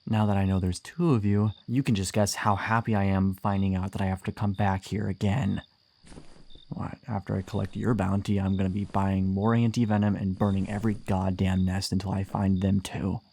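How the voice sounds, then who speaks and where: A man playing a gruff, wry, flirtatious monster hunter and bounty hunter in an immersive fantasy audio roleplay. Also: deep voice, flat delivery, moderate pace, mellow. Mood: grim, vengeful. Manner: teasing, wry, swearing, sighing.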